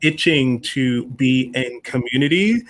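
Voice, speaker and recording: A man's voice: mid-range voice, expressive, measured pace, a bit bright. A man hosting a casual discussion as a festival producer, speaking with enthusiasm and emphatic repetition.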